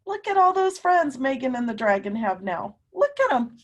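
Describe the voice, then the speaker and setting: A woman teacher reading a picture book aloud to young children, animated and emphatic, often putting questions to her listeners. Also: high-pitched. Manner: animated, emphatic, drawn-out words, coaxing young children along.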